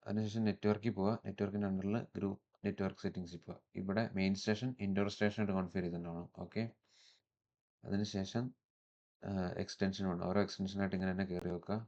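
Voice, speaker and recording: A man with a Malayali accent presenting a step-by-step software tutorial in an instructional manner, mixing in English technical terms. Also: deep voice, level tone, fast, lo-fi sound.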